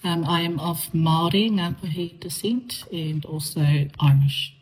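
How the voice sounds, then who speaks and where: A middle-aged woman from New Zealand, a teacher, answering radio interview questions in a measured way with frequent ums and uhs. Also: lowish voice, slight background noise, poor audio.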